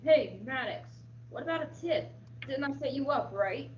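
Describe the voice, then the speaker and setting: High, distant, seedy informant played by a woman. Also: lively intonation, normal speed. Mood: wheedling. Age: teens. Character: seedy, whiskey-soaked, spiteful male informant. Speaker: school radio drama actor. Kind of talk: comic crime radio-play acting. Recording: distant, echoey, dull, faintly noisy audio.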